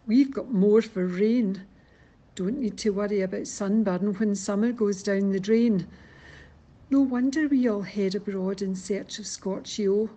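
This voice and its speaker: A woman's voice: medium pitch, warm tone. A woman, reading a rhyming poem in a wry recital. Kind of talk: poetry reading.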